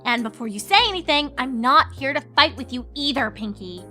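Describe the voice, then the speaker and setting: A woman voicing a bookish, earnest unicorn mare in a fan story reading, measured and slightly prim. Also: sits high, pushed and bright, faint music behind, pitched above the performer's own voice.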